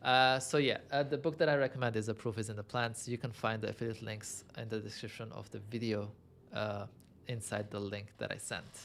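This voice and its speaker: A man's voice: fairly low pitch, steady tone, brisk, a bit bright, a little hiss or hum. A young man from Lebanon hosting a casual livestream, answering viewer questions in a chatty, self-amused way and thinking aloud with many fillers. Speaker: livestream host.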